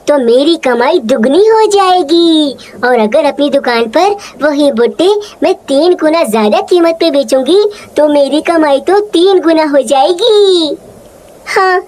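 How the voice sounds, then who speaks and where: Woman voicing a very high-pitched greedy lady crow. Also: darkish tone. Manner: bossy, scheming. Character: greedy, pushy female crow shopkeeper. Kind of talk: children's cartoon character voice.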